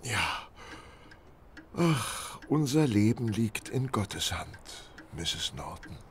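An actor playing a mild, pious, frail vicar who speaks hesitantly and courteously in a radio play. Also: male voice, on the low side, sing-song, very slow, slight background noise, exaggerated voice. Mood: grateful, pious. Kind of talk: German radio play acting.

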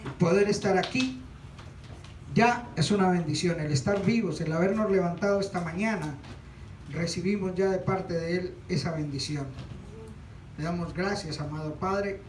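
High-voiced man exhorting in an echoey church. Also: slight background noise. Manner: earnest, reads scripture aloud. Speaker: church leader introducing the offering. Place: small church stage, indoors. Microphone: handheld mic from a far camera. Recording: echoey room, far from the mic.

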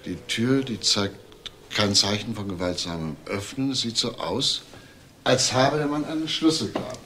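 Forceful, low-voiced police detective. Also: male voice, lively intonation, a little hiss or hum. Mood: analytical. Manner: deliberate, analytical. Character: methodical, probing male police detective. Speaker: actor in a crime drama.

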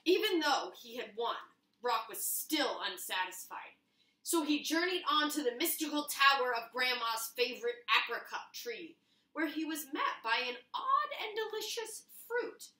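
A young woman reading a picture book aloud to children in an animated, expressive, dramatic storytelling manner. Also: high-pitched. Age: young adult. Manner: expressive, drawn-out dramatic narration. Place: small home kitchen, indoors. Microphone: camera a few feet away. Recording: roomy kitchen, camera a few feet away.

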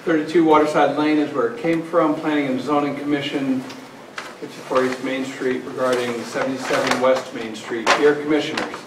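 A man's voice: mid-range voice, steady tone, normal speed. A man reading a formal letter aloud at a town meeting, stumbling and repeating phrases now and then. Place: large indoor municipal meeting room. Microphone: far camera microphone. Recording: distant, echoey meeting room with background noise.

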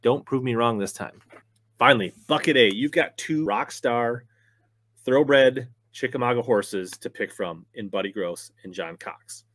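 A man's voice: medium pitch, measured pace, fairly bright tone, no echo. 30s guy doing fishing picks on his channel, chatty and upbeat, mid voice, dry close sound. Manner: upbeat, conversational, talks with his hands. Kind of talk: casual fantasy fishing picks rundown. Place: small home studio with wood-plank wall.

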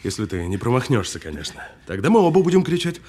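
An actor playing a cool, sardonic detainee who needles a policeman with deliberate, mocking delivery in an audio drama. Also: medium pitch, big pitch swings, darkish tone, slightly rough. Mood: mocking, menacing. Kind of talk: naturalistic audio film drama acting.

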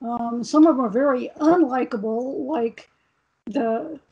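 A woman on a panel speaking from home in a relaxed way, with wry asides. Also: on the high side, a little slow, husky. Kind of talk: casual panel discussion. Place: home room. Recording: dull lo-fi video call.

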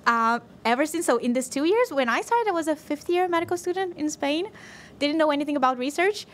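High-voiced young woman chatting informally. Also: lively intonation, a little fast, slight background noise. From Brazil. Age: 20s. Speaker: podcast guest, physician and researcher. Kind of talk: casual podcast chat. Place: podcast studio, indoors. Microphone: desk microphone on boom arm.

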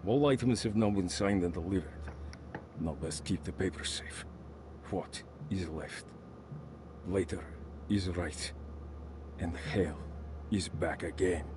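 Grim sailor, slow letter reading, very low voice, noisy background. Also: male voice. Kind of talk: scripted game voice-over.

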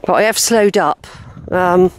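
A woman's voice: fairly low pitch, unhurried, slight background noise. A woman, short of breath and wheezy, chatting casually to camera as she walks outdoors on a grassy hillside. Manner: chatty, rambling, talks to herself. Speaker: walking vlogger. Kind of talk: casual walking commentary to camera. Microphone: handheld camera, unseen narrator.

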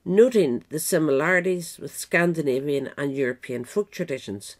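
A woman's voice: deep voice, normal speed. A woman narrator reading a scripted biographical essay aloud. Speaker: narrator, reader.

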